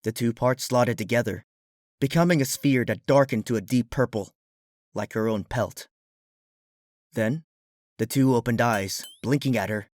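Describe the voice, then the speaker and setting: A man's voice: mid-range voice, a little slow, long pauses, a little mellow, no echo, studio quality. A man reading a fan fiction story as a scripted narrator. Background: background music.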